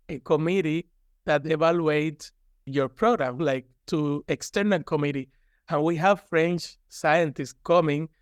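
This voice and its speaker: Male interview guest, Cuban accent, native Spanish speaker. Clear, smooth tone, candid conversational delivery, dry close recording. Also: a little high, dry recording. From Cuba. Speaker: guest, university professor.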